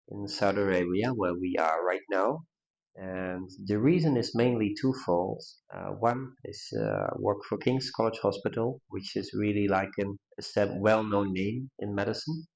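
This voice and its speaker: Gravelly Dutch-accented man, low voice. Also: darkish tone, low-quality recording. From Netherlands. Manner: measured, with frequent pauses and restarts. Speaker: neurologist guest. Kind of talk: conversational interview answers.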